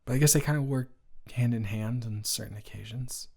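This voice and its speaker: A man's voice: medium pitch, creaky, darkish tone. A young man playing a boyfriend's caring best friend in an improvised romantic audio roleplay, talking in a casual, stammering, awkwardly indignant way. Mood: appalled, sympathetic. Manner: halting, stammering, informal, repeats himself.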